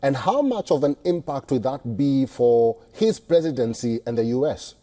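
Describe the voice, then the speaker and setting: Man, news anchor, very mellow dark tone, husky, medium pitch, presenting and interviewing. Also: animated, normal speed.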